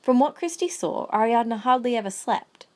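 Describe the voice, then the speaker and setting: A woman's voice: a little high, expressive, normal speed. A woman reading scripted third-person narration for an amateur audio drama.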